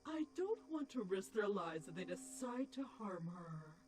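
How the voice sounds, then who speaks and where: A woman's voice: medium pitch, big pitch swings, measured pace, music in the background. A woman playing an imperious, scheming, short-tempered pony queen in a theatrical, commanding cartoon style. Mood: cold, commanding. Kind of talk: animated fan audio drama acting.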